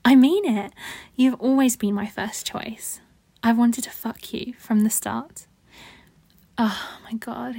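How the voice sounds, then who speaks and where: Woman acting husky, breathy, slow seductress. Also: fairly high pitch, a little mellow, no room sound, exaggerated voice. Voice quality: husky, breathy, often dropping into whispers. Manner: slow, sultry, coaxing. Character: seductive, needy adult woman lover, wanton and breathless. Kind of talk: intimate erotic audio roleplay.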